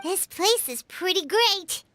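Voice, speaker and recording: A woman voicing a tiny, perky, childlike fairy companion in a video game, with a squeaky, chirpy, eager delivery. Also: lively intonation, slow pace, sharp tone, no echo, heavily acted. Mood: encouraging. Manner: chirpy, eager, speaks of herself in third person. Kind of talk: cartoonish video game acting. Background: background music.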